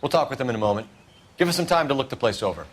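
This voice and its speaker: An actor playing a probing 1940s American police detective in a video game, businesslike, clipped and authoritative. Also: male voice, medium pitch, sing-song, rapid-fire.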